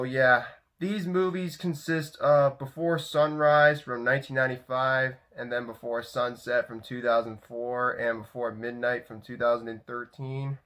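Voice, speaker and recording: A man's voice: mid-range voice, a bit bright. A young man with a head cold and sore throat, casually reviewing movies for his channel in a rambling way with lots of fillers. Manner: rambling, many "so, uh" fillers and restarts.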